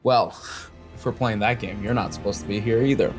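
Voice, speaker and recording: A man's voice: on the low side, a little fast, music in the background, close to an everyday voice. A man playing a sly, smug bounty hunter who bargains casually with an old acquaintance in a tabletop game. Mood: smug bargaining.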